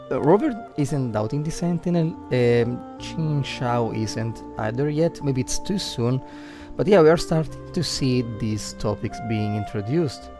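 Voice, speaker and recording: A man with an Italian accent, a native Italian speaker livestreaming casual game commentary, thinking aloud and searching for words. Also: mid-range voice. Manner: hesitant, searching for words, reflective.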